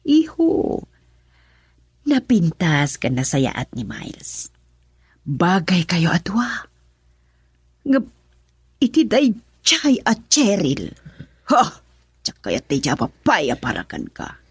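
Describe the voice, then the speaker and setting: A woman's voice: on the low side, wildly swooping pitch, takes it slowly, pauses often, breathy edge, no echo, full character voice. A woman with an Ilocano Filipino accent playing an insistent, meddling middle-aged mother in a theatrical, coaxing radio drama style. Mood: insistent, upset.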